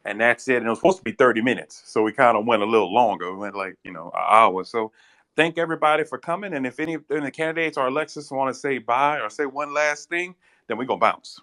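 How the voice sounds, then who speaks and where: Man with a bright, casual voice. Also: mid-range voice, quick pace. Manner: loose and relaxed, with many fillers. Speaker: room host. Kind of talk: casual wrap-up of an online room.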